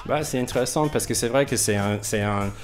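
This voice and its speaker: A man hosts a relaxed radio interview in a mid-range voice. He feels his way through sentences haltingly, often restarting or letting them trail away. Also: medium pitch, soft background music. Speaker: radio host. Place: radio studio.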